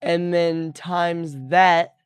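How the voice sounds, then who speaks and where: Young male streamer, easygoing chat, smooth clear voice a little high, dry close sound. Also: extremely slow, dry recording. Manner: relaxed, easygoing, trails off mid-sentence. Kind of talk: casual chat.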